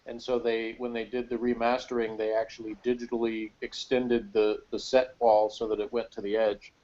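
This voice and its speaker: A man's voice: mid-range voice, little pitch movement, normal speed, darkish tone. Man chatting casually, slightly muffled sound. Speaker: co-host on a TV review. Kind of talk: casual chat. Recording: dull, slightly lo-fi audio.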